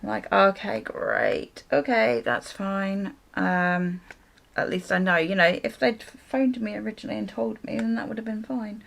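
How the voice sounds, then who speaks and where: Slow-paced British woman telling a story. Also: mid-range voice, vocal fry, a bit bright. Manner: sweary, exasperated storytelling with acted-out dialogue. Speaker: vlogger. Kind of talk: casual chatty storytime while getting ready.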